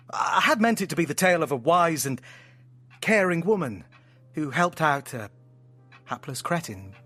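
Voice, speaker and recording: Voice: mid-range voice, expressive, unhurried, long pauses, a bit bright, breathy edge. An actor in an audio drama playing a theatrical, playful storyteller friend with hammy flourishes and sheepish, affectionate asides. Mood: tender, apologetic.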